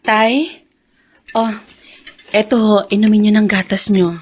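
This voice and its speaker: A woman acting a kind, soft-hearted daughter with a husky voice and a Filipino accent in a radio drama. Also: medium pitch, steady tone, measured pace, natural voice. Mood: caring, hesitant.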